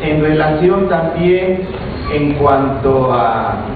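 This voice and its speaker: Man with a Mexican Spanish accent, audience comment, high voice, tinny noisy far-off recording. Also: expressive, extremely slow. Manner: hesitant, many fillers and restarts. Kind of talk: halting public comment at a lecture. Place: large auditorium. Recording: echoey hall, distant tinny mic, noisy.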